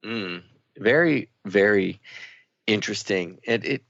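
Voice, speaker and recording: Gravelly man on a muffled line. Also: on the low side, lively intonation, takes it slowly, fairly bright tone, dry recording. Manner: reflective, many "uh" fillers. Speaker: radio host. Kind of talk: radio talk show discussion.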